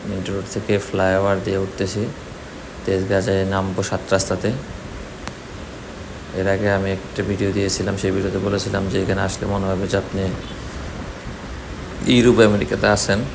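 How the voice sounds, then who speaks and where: A Bangladeshi-accented man narrates his motorbike ride in a casual vlog style. His voice sits on the low side and stays very flat in pitch. Clear road and vehicle noise runs behind him. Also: normal speed, long pauses. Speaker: vlogger. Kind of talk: casual commentary while riding a motorbike. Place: outdoors on a moving motorbike in city streets.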